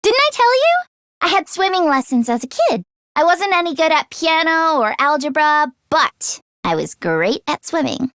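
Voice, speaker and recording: A woman voicing a nervous, expressive teenage girl fighter in an English video game, with casual youthful phrasing. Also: sits high, normal speed, no room sound, clean studio sound, heavily acted. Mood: cheerful.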